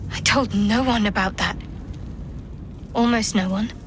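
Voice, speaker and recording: A woman's voice: mid-range voice, unhurried, background noise, natural voice. A woman playing a suspicious, defensive young cleric in a video game, with a clipped, guarded manner. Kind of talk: cinematic video game acting.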